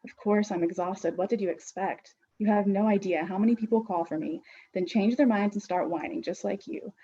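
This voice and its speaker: A woman's voice: mid-range voice, a little fast, dark tone, husky, lo-fi sound, close to an everyday voice. Woman doing weary, scornful old Death. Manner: grandiloquent, scornful and lecturing. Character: weary ancient female Death, a skeleton.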